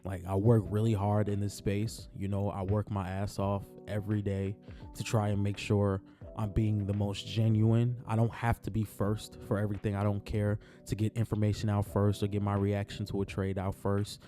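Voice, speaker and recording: A man talking casually about basketball in his own vlog, his voice raspy from just waking up, laid-back and repeating himself as he speaks from the heart. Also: fairly low pitch, completely flat delivery, moderate pace, dark tone, soft background music. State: raspy morning voice. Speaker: sports vlogger. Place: on his way to work.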